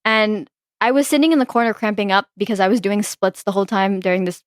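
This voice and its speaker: A woman's voice: medium pitch, steady tone, fast talker, dry recording, clean studio sound. A teenage woman co-hosting a podcast, chatting casually with friends and telling a story full of "like" fillers. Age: teens. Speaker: podcast co-host. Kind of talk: casual chat among friends.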